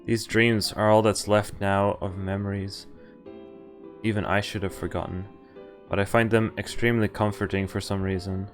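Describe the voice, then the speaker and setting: Low-voiced man streaming a game. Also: little pitch movement, normal speed. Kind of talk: live playthrough, reading on-screen story text aloud. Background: game music.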